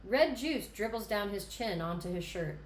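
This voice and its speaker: A woman's voice: mid-range voice, animated, moderate pace. Woman narrating screenplay in echoey room. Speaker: narrator reading stage directions. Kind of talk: scripted narration, screenplay table read. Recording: echoey room, background noise.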